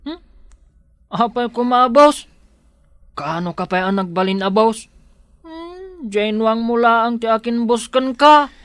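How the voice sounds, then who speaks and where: A household guard in a radio drama, high in pitch and close in a dry studio. He is dutiful and deferential to his employer, brusque with everyone else. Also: lively intonation, takes it slowly, long pauses, fairly bright tone, clear, resonant tone, no echo, exaggerated voice. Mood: irritated. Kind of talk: Ilocano radio drama acting.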